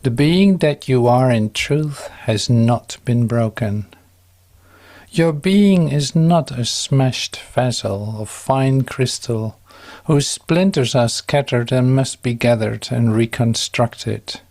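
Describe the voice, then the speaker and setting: A man giving a calm, solemn, measured reading of a devotional text, with drawn-out pauses. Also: mid-range voice. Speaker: devotional reader.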